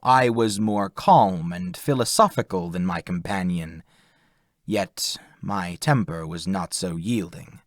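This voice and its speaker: A man reading literary prose as an audiobook narrator, in a measured, formal manner. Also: low-pitched, dry recording, clean studio sound.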